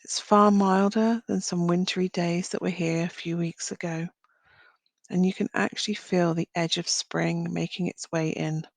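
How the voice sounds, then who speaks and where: Low-pitched, creaky-voiced woman guiding a meditation. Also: fairly even intonation, dry recording. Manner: gentle, unhurried phrasing with long pauses. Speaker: meditation guide. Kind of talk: guided visualisation, slow soothing narration.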